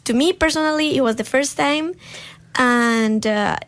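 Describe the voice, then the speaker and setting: A woman's voice: high voice, unhurried. A young woman from Rica, hosting a live radio chat in a studio, upbeat but hesitant with many fillers. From Costa Rica. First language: Spanish. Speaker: radio host. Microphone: studio microphone.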